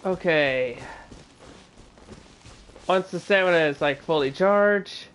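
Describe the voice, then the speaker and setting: Slow-talking man streaming a game. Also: on the high side, a bit bright, clear, resonant tone. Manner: halting, many filler words, chats with viewers. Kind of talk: casual live gameplay commentary.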